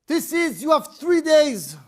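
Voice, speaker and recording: A woman shouting as a stern governor barking orders. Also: sits high, very animated, unhurried. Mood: commanding. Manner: shouting commands. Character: loud stern male governor giving orders.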